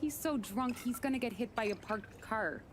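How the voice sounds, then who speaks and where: A woman's voice: on the high side, normal speed, close to an everyday voice. Casting: a woman as a teasing party girl, noisy game mix. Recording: game audio with clear background noise.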